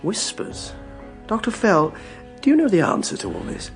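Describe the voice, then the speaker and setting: Anxious, higher-voiced earnest Englishman. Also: huge pitch swings, normal speed, gravelly, soft background music, full character voice. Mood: puzzled. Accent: British. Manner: earnest, urgent, questioning. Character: anxious, earnest well-bred Englishman, worried brother. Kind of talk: radio drama acting.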